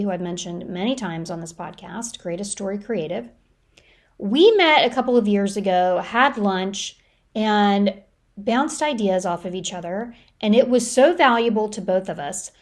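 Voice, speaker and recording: An American woman speaking solo as a podcast host, giving lightly scripted advice in a conversational, practical, explanatory way. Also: mid-range voice. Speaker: professional speaker.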